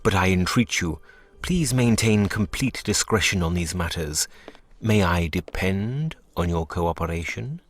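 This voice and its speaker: An actor playing a formal, brusque head doctor of a continental clinic in a video game, speaking with measured, condescending politeness. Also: male voice, deep voice, lively intonation. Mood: guarded, courteous.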